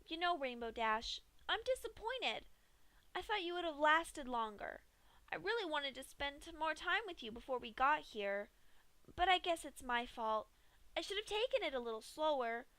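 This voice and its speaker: A woman putting on a giddy, cheerfully cruel pink pony mare. The voice rides high and bright with a bouncy singsong lilt. It sounds dry and close to the mic. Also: sing-song, normal speed, no echo, exaggerated voice. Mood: mock-sorry. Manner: bubbly, singsong, punning playfully.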